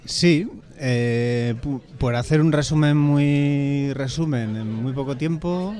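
A man with an accent from Spain answering informally in a radio interview as a member of a bookshop collective, halting and repeating words as he explains. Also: mid-range voice, a little mellow.

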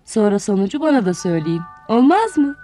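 A woman's voice: mid-range voice, brisk, soft background music. A woman storyteller narrating a fairy tale expressively, speaking directly to children. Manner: animated, addresses child listeners directly.

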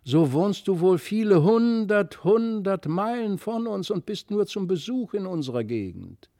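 A man's voice: high voice, very animated, a little slow, warm tone, no echo, clean studio sound, pitched above the performer's own voice, heavily acted. A man voicing a naive, sweet little girl with a plaintive, childlike manner in a storybook reading. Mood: tearful. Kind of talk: literary audiobook reading.

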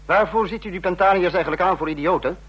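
An actor playing a sarcastic, domineering dictator in a radio drama, husky-voiced and biting as he scolds his subordinates. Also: sits high, fast, fairly bright tone, dull audio. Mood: scornful anger.

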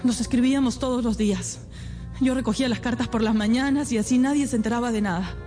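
High-voiced, lovelorn young woman played by an actress. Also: fast talker, warm tone, faint music behind, natural voice. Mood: earnest. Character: anxious, lovelorn young woman client, naive and devoted. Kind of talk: Spanish-language radio drama acting.

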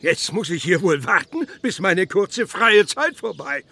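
An actor playing a plaintive, brooding carpenter of nearly seventy in a radio play. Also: male voice, sits high, very animated, unhurried, gravelly, bright, forceful tone, exaggerated voice. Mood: wistful grumbling. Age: 60s. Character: grumbling grey-haired carpenter, nearly seventy, mourning his beer mug.

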